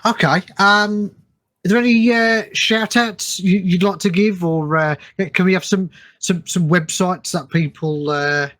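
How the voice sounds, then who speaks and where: A man's voice: high-pitched, fairly even intonation, unhurried, a bit bright, no echo, studio quality. A man hosting a casual podcast interview in an agreeable, hesitant way. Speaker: podcast host.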